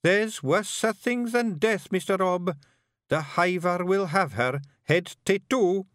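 A man's voice: high voice, slow pace, fairly bright tone, dry recording, clean studio sound, voice pushed up. A man voicing a young, nervous male pictsie apprentice bard with a Scottish accent, earnest and anxious.

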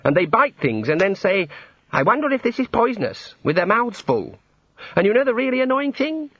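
Man playing young wizard scholar, higher voice, long-suffering n polite, bit husky, storybook. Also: very animated, normal speed, dry recording, highs cut off, heavily acted. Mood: gloomy, exasperated. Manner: hesitant, gloomy, long-suffering.

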